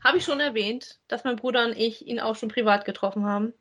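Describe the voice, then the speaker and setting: A woman's voice: on the high side, fast, fairly bright tone. A woman co-hosting a podcast, talking informally and conversationally. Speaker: podcast co-host. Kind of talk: casual chat about audio drama voice actors.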